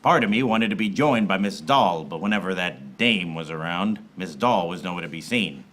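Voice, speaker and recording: A man's voice: sits low, animated, normal speed, a bit bright. A man playing a hapless, wisecracking private eye who narrates his case in a film noir radio parody, recorded live in a theater. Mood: wry, tense. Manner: wry, self-aware wisecracking. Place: theater stage before a live audience.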